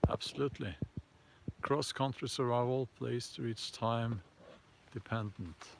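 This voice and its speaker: Norwegian-accented male host, unhurried and halting, mid-pitched, noisy recording. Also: gravelly. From Norway. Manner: hesitant, halting, many fillers and restarts. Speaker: host answering viewer comments. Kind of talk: casual live chat.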